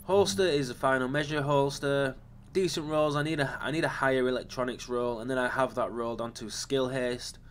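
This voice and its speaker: A man speaking at a mid-range pitch, his voice a little raspy from a lingering cough. He walks through his game setup in a relaxed, rambling way, pausing on ums and correcting himself. A faint hiss sits under the recording. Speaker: gaming channel host. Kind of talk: casual game build walkthrough.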